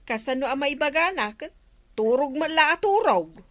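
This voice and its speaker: A woman with an Ilocano accent playing an excitable, fretful villager in a radio comedy drama. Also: high-pitched, moderate pace. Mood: complaining.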